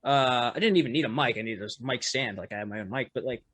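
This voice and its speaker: A man's voice: medium pitch, quick pace, no room sound. Rambling man telling a gig story. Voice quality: loud voice. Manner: rambling, lots of fillers and restarts, laughs often. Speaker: podcast guest, musician. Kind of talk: casual storytelling chat.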